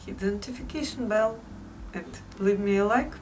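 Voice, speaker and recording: Woman with a Russian accent, unhurried vlogging. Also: medium pitch, far from the mic, slight background noise, low-quality recording. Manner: unhurried, repeats phrases, frequent fillers. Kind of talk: casual vlog to viewers.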